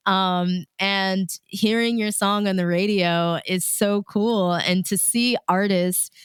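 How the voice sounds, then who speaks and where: A woman's voice: mid-range voice, steady tone, slow, smooth, no room sound, clean studio sound. A woman radio DJ chatting as an interview guest, informal and enthusiastic, with plenty of "like" and "you know". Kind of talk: casual conversational interview.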